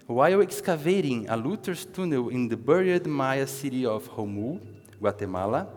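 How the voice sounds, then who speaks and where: Man, event host, formal measured intro, mid-pitched voice, echoing hall. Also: a little mellow. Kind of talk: read introduction. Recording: reverberant hall.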